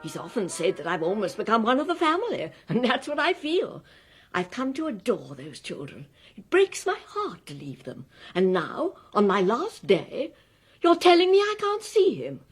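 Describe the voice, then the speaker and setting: An actress playing an earnest, naive English governess with a British accent in a radio drama, genteel and insistent in manner. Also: female voice, a little high, huge pitch swings, normal speed, slightly rough, exaggerated voice. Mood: indignant, hurt.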